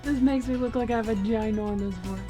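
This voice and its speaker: A woman's voice: on the high side, dark tone. A woman with a faint Southern US accent, chatting casually in a playful, self-conscious way. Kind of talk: casual chat.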